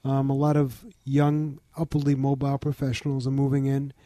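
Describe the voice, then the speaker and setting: Older Bronx-raised man, steady interview guest, warm and close. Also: mid-range voice, no echo. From the Bronx, New York. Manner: measured, informative, lists details. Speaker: museum founder, guest. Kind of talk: interview conversation.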